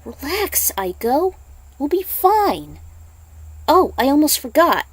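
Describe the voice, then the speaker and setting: Woman playing a gentle, unhurried young warrior monk. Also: sits high, big pitch swings, no echo, pitched above the performer's own voice, exaggerated voice. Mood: reassuring.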